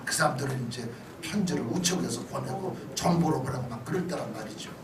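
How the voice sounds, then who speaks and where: A man's voice: medium pitch, lively intonation, quick pace. A male pastor giving a conversational history lecture, chatty and often checking in with listeners through questions and asides. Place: church hall lecture. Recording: echoey hall, distant mic, noisy background.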